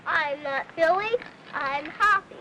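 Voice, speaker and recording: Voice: high-pitched, slow, sharp tone, full character voice. A young performer playing an eager, boastful little boy in an old film, bossily pretending to be a cowboy. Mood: bossy play. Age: child. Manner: bossy play-acting, boastful. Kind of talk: 1950s film acting. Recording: noisy old film soundtrack.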